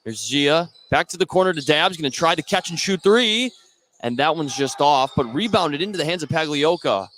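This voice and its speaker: A man's voice: fairly high pitch, lively intonation, moderate pace, fairly bright tone. American man calling basketball over gym noise. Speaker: play-by-play sports announcer. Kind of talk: live basketball play-by-play commentary. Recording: clear gym noise, bouncing basketballs behind. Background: basketball game in a gym.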